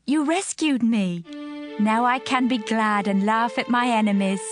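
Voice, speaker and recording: A woman's voice: fairly high pitch, unhurried, lightly acted. An actress playing a devout, grateful biblical mother, speaking earnestly in a dramatized scripture reading. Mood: reverent, exultant. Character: devout grateful mother praying, Hannah of the Bible. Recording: voice over prominent music.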